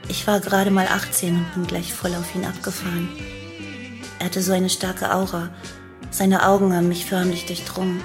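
A woman's voice: lowish voice, completely flat delivery, normal speed, close to an everyday voice. An actress playing an enthralled, devoted young female cult follower, confiding her memories in a radio play. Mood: devoted, intimate. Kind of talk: German radio play monologue. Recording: music behind the voice.

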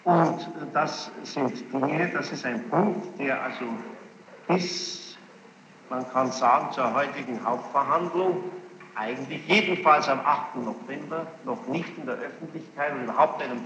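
A man questioning a witness as a judge in court, formal and insistent, halting and repeating himself as he builds each question. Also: mid-range voice. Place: large echoing courtroom. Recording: noisy muffled archival courtroom tape, echoing hall.

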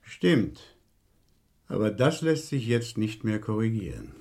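An actor playing a stern, dogged police inspector in a 1970s radio crime drama, with a rasping, probing delivery. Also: male voice, on the low side, sing-song, a little slow, very noisy. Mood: firm, unyielding.

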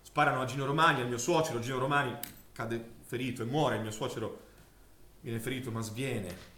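An actor playing a male survivor who gives halting, emphatic eyewitness testimony of a wartime massacre in a theatrical monologue. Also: medium pitch, steady tone, sharp tone, natural voice. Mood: grim, insistent. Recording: echoey, distant stage recording with hiss.

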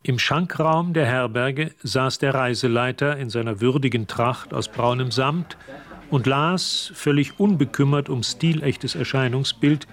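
An actor narrating a scripted radio drama as a calm storyteller, measured and literary. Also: male voice, mid-range voice, lightly acted.

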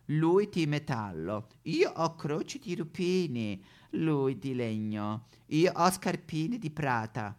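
A man's voice: medium pitch, clear, resonant tone, pitched above the performer's own voice. A man playing an elderly retired German pope in a satirical stage monologue, with a German accent, mock-solemn sing-song preaching and petulant complaints. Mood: wistful, deadpan. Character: elderly retired German pope, whiny, pious but foul-mouthed.

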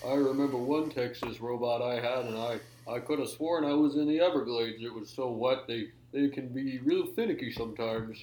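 A man co-hosting a comedy show, playing the straight man and asking mock-serious questions. His voice is dark and mellow. A roomy echo hangs around it. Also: medium pitch, moderate pace, few pauses. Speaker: podcast co-host. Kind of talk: improvised comedy banter.

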